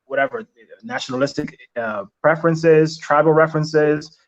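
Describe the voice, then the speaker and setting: A young man whose first language is Italian, answering interview questions in a reflective, halting way with many restarts and fillers. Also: a little high. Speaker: interview guest. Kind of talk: casual interview chat. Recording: slightly dull lo-fi sound.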